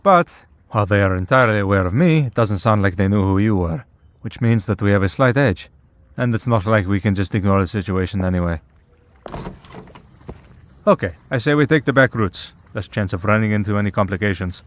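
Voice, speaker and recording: A man's voice: lowish voice, expressive, a little fast, close to an everyday voice. A man playing a wry, teasing vampire in a priest's collar for an ASMR roleplay, in a sardonic, conversational way. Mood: playful.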